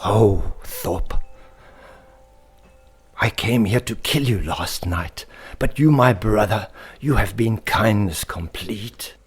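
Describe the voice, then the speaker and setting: A man's voice: fairly low pitch, slow, long pauses, slightly rough, heavily acted. A man voicing a young male flying creature from a children's story, speaking in an earnest, dramatic way. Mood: remorseful, grateful. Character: repentant young male flying creature, hot-headed, grateful.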